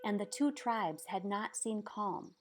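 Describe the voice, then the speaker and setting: A woman narrating a storybook aloud in a measured, expressive way, with dramatic pauses. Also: mid-range voice, background noise. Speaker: storybook reader. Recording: music behind the voice. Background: background music.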